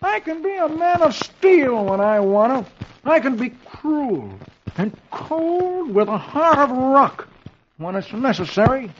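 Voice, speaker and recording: A man's voice: very high voice, big pitch swings, normal speed, voice pushed up. A man voicing a henpecked comic-strip husband who tries to sound stern, with blustering, emphatic delivery. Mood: proud. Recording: dull old radio recording, clear noise.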